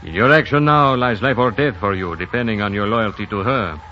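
A man's voice: lowish voice, a little fast, slight background noise, natural voice. An actor playing a grave, loyal French-speaking woodsman, formal and solemn in 1940s radio style. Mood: stern warning. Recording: dull 1940s radio recording.

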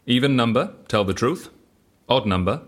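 An actor plays an eccentric man who is utterly sure of his odd creed. His mid-pitched voice moves in lively swings, and he coaxes with clipped questions and assured pronouncements, as heard in an audio drama. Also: normal speed, lightly acted. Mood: defiant, secretive. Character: eccentric, self-assured male devotee of random chance, coolly persuasive.

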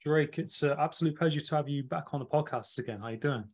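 A man's voice: on the high side, fast. Rambling young podcast host, man. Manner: rambling, hesitant, many fillers and restarts. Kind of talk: casual chat.

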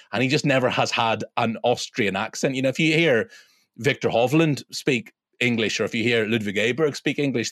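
A man's voice: medium pitch, no echo, studio quality. A man co-hosting a casual sports roundtable, talking emphatically and bluntly with some casual swearing. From Europe. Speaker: podcast co-host.

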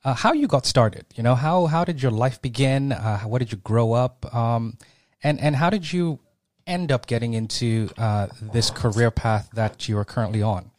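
A man's voice: medium pitch, moderate pace, a little mellow, dry recording. A man hosting a podcast who reads a scripted intro, then chats upbeat with many fillers. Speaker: podcast host.

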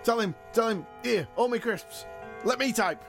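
An actor playing a cocky, food-loving, washed-up wrestling champion in an audio comedy, boastful and evasive with deadpan jokes. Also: male voice, very high voice, normal speed, creaky, music in the background. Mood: flustered. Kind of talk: comedy audio drama dialogue.

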